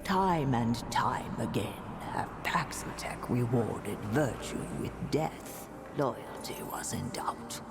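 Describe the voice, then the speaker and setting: A woman's voice: rumbling low, lively intonation, heavily acted. A woman playing an aged, haughty alien empress in a video game cutscene, speaking in a formal, regal manner. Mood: solemn, commanding. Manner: slow, formal, regal delivery. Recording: loud music under the voice.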